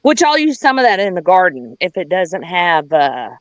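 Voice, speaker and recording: A woman's voice: on the low side, big pitch swings, normal speed, dry recording, highs cut off. Candid woman rambling through a story, dry sound. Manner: candid, profane, rambling with digressions; acts out quoted dialogue. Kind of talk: casual storytelling chat.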